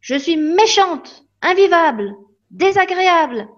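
A woman voicing an angry, tearful young girl with a high, pleading voice in a storybook reading. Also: expressive, unhurried, lo-fi sound.

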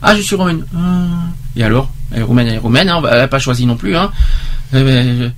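A man hosting an informal radio discussion, talking haltingly and repeating himself for emphasis. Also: medium pitch. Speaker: radio host. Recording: clear background noise.

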